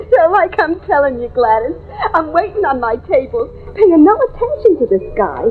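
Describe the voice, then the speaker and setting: High-pitched, chatty young waitress. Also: female voice, big pitch swings, unhurried, phone-quality sound, slight background noise, exaggerated voice. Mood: gossipy. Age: young adult. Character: chatty, excitable young New York waitress on the telephone. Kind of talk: old-time radio drama acting. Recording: thin old radio recording, music behind.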